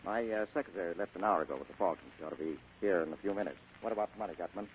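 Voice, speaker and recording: An actor playing a cool, wisecracking hard-boiled private detective in 1940s radio style, clipped and cynical. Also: male voice, low-pitched, very fast, over music. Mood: businesslike. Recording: muffled vintage radio recording.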